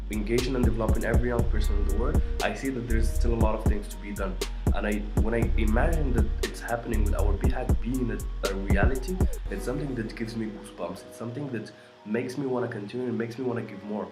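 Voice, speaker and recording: Earnest young man over loud music. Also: lowish voice. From Morocco. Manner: earnest, measured, pausing between list items. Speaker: candidate. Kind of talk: personal pitch video.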